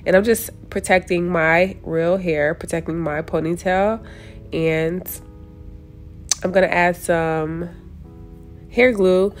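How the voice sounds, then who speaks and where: A young woman with a somewhat low voice that sounds stuffed up and congested. She talks through a hair tutorial in a loose, chatty way while she works, stopping often to correct herself. Music plays softly in the background. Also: steady tone, takes it slowly, pauses often. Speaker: hair vlogger.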